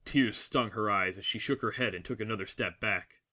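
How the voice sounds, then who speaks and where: A man narrates a dramatic story in a fairly low voice with a bright, forceful edge. His delivery is measured, literary and solemn.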